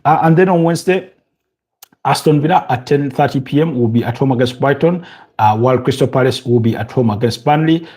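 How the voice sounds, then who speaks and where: A man from Uganda hosting a casual live football preview, talking haltingly with many fillers and restarts and chatting with his viewers. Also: mid-range voice, darkish tone, breathy edge. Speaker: football podcast host.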